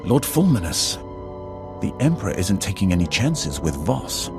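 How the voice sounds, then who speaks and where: An actor playing a grave, knowing advisor in a video game, solemn and formal. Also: male voice, sits low, very animated, moderate pace, music in the background. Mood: grave, ominous.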